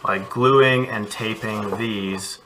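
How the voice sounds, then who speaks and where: A man's voice: on the low side, fairly even intonation, slow, very noisy. A man, an art educator, casually walking kids through a cardboard craft project from his home studio, encouraging and thinking aloud as he works.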